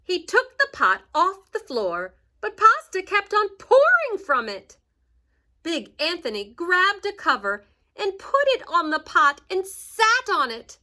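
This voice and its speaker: Woman, high bright voice, unhurried storybook read-aloud, expressive, teacherly asides to children. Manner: expressive, dramatic emphasis. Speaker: teacher reading aloud to first graders.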